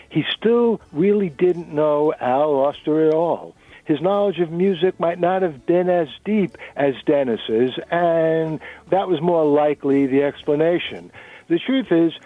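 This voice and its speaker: Higher-voiced man reading a story. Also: narrow, tinny audio. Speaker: narrator reading a novel chapter. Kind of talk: dramatic reading of fiction.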